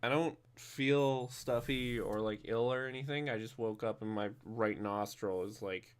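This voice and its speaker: A man's voice: medium pitch, unhurried, smooth. A man streaming a driving game, chatting casually after just waking up, slightly nasal and drowsy, rambling with many fillers and restarts.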